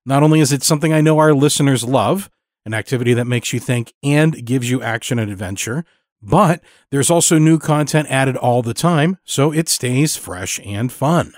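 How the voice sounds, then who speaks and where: A man's voice: mid-range voice, moderate pace, darkish tone, dry recording, clean studio sound. A man hosting a podcast, reading scripted narration and announcements. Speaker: podcast host.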